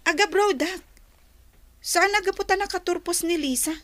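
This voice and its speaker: A woman's voice: very high voice, normal speed, a bit bright, no room sound. A woman acting a headstrong, envious daughter in a radio drama, emphatic and boastful. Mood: determined. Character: headstrong, envious daughter dreaming of riches abroad.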